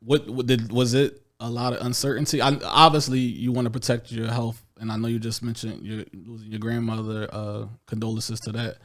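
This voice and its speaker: A man at mid pitch hosts a casual podcast interview. He circles around his questions and restarts them before landing them. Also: level tone, brisk, few pauses. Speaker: podcast host.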